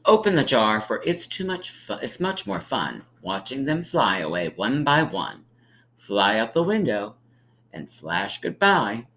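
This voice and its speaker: A man reads aloud to small children in a very lively, swooping voice. He takes his time, stretching phrases for suspense and encouraging listeners to join in. There is some background noise on the recording. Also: on the low side, poor audio. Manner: encouraging, inviting listeners to count along; drawn-out, emphatic storytelling delivery with dramatic pauses. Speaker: teacher reading a picture book aloud to preschoolers. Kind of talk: animated children's read-aloud with friendly asides.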